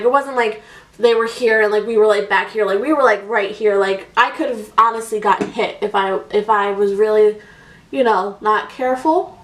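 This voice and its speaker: A woman in her twenties chats away about her life while doing her makeup, her talk full of "like" and "um". Her voice sits a little high. It is picked up from a few feet off in an echoey room. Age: 20s. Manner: chatty, rambling, many "like"s and fillers. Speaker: beauty vlogger. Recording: echoey room, voice a bit distant.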